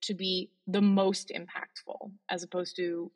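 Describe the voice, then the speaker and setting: A woman's voice: fairly low pitch, flat delivery, a little slow, slightly rough. A Belgian woman in her forties whose first language is Flemish, speaking with an American accent as an interview guest, earnest and emphatic with frequent fillers. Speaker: guest, sustainability leader in the chocolate industry. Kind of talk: interview conversation.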